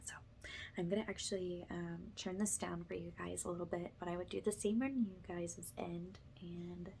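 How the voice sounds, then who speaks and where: Soft-spoken woman guiding ASMR meditation, background noise. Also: on the low side, steady tone, normal speed, creaky. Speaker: ASMR energy healing host and guide.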